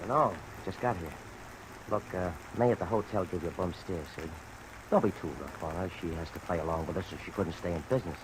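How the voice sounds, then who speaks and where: An actor playing a wary, protective small-time crook in a 1950s radio drama, slangy, guarded and insistent. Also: male voice, low voice, quick pace, close to an everyday voice. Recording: old radio recording, clear rain noise. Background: rain sounds.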